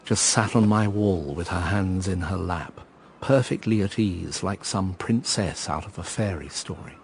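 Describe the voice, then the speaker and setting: A man's voice: low-pitched, a little slow, slight background noise. An actor in a radio drama narrating as a dry, sardonic man, recalling his past. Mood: reflective. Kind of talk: scripted first-person drama narration.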